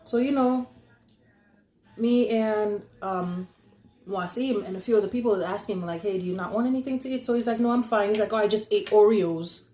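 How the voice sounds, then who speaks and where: A young adult woman telling stories casually and conversationally, animated and giggly, acting out what people said. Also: mid-range voice, moderate pace. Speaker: podcast host telling stories. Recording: echoey, roomy sound.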